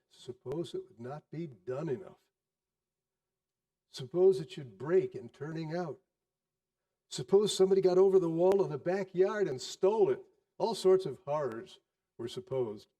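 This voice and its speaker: A man narrating a classic story in a theatrical style, with dramatic, deliberate phrasing and varied emphasis. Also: on the high side, expressive, dark tone, distant mic.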